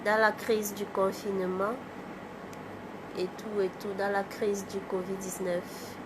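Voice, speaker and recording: A woman from Cameroon with a cold, hosting a casual livestream, greeting viewers by name and chatting in a halting way. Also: mid-range voice, level tone, noisy background. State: has a cold. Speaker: livestream host, artist. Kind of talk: casual live chat with viewers.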